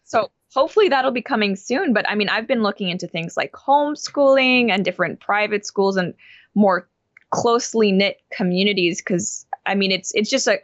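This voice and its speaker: A woman's voice: mid-range voice, no room sound, lo-fi sound. A young woman as an interview guest, talking in a thoughtful, casual way with many fillers and restarts.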